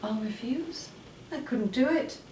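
A woman's voice: on the high side, unhurried, mellow, close to an everyday voice. An actress playing a sensitive, principled woman in an audio play, speaking with earnest emotion. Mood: upset, indignant. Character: sensitive, principled woman protective of her elders. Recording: echoey, distant, faint noise.

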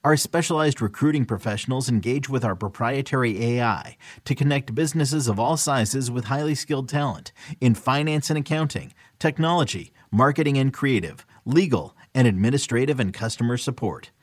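A man reading a scripted radio ad in a measured, smooth voice. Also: mid-range voice, no room sound, clean studio sound. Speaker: ad announcer. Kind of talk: scripted ad read.

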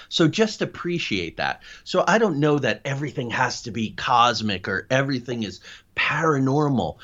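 A middle-aged man answering interview questions as a guest, explaining things in an animated, anecdotal way. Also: mid-range voice. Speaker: interview guest, paranormal investigator and writer. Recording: dull, lo-fi audio.

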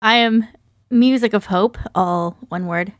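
A woman's voice: mid-range voice, a little slow, no room sound, clean studio sound. A woman talking casually as a guest in a podcast conversation. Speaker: podcast guest, audio freelancer. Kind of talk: casual chat.

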